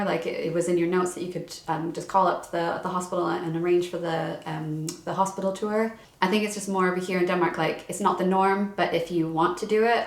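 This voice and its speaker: A young woman vlogging in a casual, chatty way, full of fillers and restarts. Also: on the low side. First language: English. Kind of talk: casual chat. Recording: echoey room.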